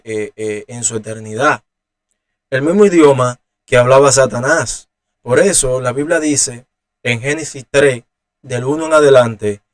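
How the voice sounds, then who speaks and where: A preacher with a Dominican Spanish accent explains scripture at an unhurried, teaching pace. He speaks in a middle-pitched voice and often pauses on "eh" as he gathers his thoughts. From Dominican Republic. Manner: unhurried, explanatory, with frequent "eh" fillers. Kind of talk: Bible teaching.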